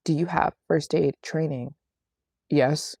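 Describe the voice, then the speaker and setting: A young woman hosts a casual livestream, talking in a low register with a gravelly fry. She is chatty and playful, repeating phrases for emphasis as she answers viewers. The sound is close and dry. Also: steady tone, slow pace, dark tone, no echo. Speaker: travel vlogger hosting a livestream. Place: small apartment living room in Japan.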